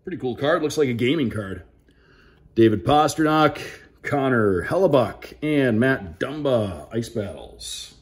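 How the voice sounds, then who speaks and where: Man with lively, animated unboxing commentary. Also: medium pitch, a little slow. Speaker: radio sports play-by-play broadcaster. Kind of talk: casual unboxing commentary, reading out names.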